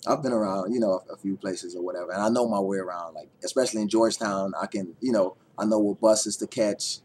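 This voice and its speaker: Rambling Guyanese man, low voice. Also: fairly even intonation, quick pace, darkish tone, a little hiss or hum. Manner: rambling, with many fillers and repeated words. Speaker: vlogger. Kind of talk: casual chat answering tag questions.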